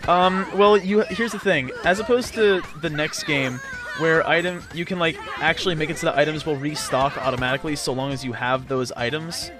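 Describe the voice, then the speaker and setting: A man giving casual video game commentary, explaining in a halting, rambling way with sarcastic jokes. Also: a little high. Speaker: let's play host and player. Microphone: voice-over commentary recorded over game footage. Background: game music.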